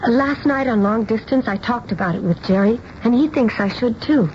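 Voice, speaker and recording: A woman plays a considerate young bride in a 1970s radio drama. She speaks gently in a high voice. The old broadcast is dull and faintly noisy. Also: normal speed, lightly acted. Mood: coaxing. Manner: gentle, soothing.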